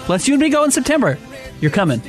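A man's voice: extremely high pitch, very animated, rapid-fire, faint music behind. A man co-hosting a sports radio show, chatting casually and laughing as he tells stories. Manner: chatty, laughs while telling stories, teasing. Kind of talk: casual sports talk banter. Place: radio studio. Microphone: studio broadcast mic.

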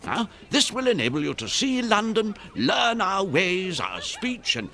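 A genial, cultured English master in a radio drama. His voice is high and animated, with an unhurried pace. Faint background noise sits under him. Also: vocal fry, heavily acted. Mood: jovial. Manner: jovial, unhurried, civilized.

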